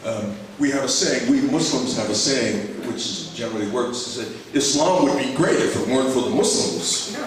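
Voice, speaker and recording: A man's voice: mid-range voice, lively intonation, normal speed, crowd noise. A man, a law professor, giving a reflective conference lecture with many fillers and restarts. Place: conference hall. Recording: echoey hall, speaker far from the mic, noticeable background noise.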